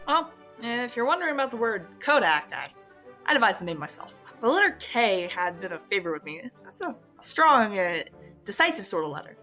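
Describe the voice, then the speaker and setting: A woman playing a genial, modest male inventor who talks proudly and a little hesitantly in a radio drama. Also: fairly high pitch. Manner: hesitant, thoughtful, quietly proud. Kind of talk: scripted radio drama acting.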